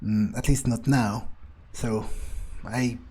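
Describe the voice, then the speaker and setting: A man's voice: medium pitch, steady tone, slow pace, darkish tone, a little hiss or hum, lightly acted. A man playing a resentful, suspicious fledgling vampire, grumbling his thoughts aloud hesitantly in an improvised in-character video game playthrough. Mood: disgruntled. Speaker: gaming video player.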